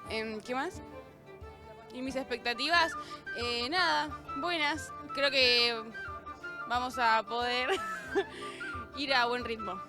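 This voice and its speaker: Young woman, Argentine accent, very high voice, slow, shy. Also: music in the background.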